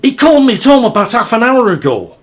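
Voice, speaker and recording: A man's voice: very high voice, sing-song, fast talker, heavily acted. A man acting in an audio drama as an irritable, overworked local radio travel presenter. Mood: angry, agitated. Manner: colloquial British speech, easily riled.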